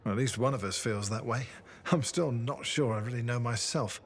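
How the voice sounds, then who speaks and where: An actor playing a quiet, reflective man with a troubled past in a video game. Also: medium pitch, moderate pace, lightly acted. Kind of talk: video game cutscene acting.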